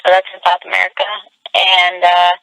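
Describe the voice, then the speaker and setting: Bright-voiced woman from Venezuela. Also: lowish voice, moderate pace, vocal fry, no echo, low-quality recording. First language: Spanish. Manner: lively, laughs often, frank. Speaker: professional wrestler, guest. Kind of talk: casual interview.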